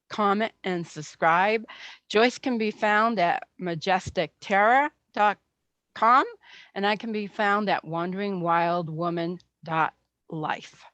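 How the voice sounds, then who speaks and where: A woman hosting a podcast, opening the show and asking questions in a deliberate way. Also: medium pitch, fairly bright tone, no room sound. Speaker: podcast host.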